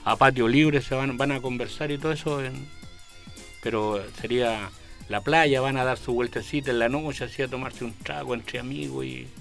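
Older Chilean man, halting and rambling. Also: mid-range voice, steady tone, vocal fry, a bit bright, faint music behind. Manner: rambling, halting, with many restarts. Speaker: guest, local amateur actor. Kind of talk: casual interview chat.

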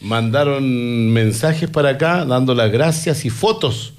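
A man's voice: medium pitch, a little slow. A man hosting a radio show, chatting casually and joking with his guests. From Chile. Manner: chatty, jokey, rambling with restarts. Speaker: radio host.

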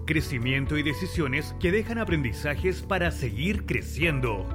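A man reading a scripted radio show promo in an upbeat, persuasive way. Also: on the high side. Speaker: promo announcer.

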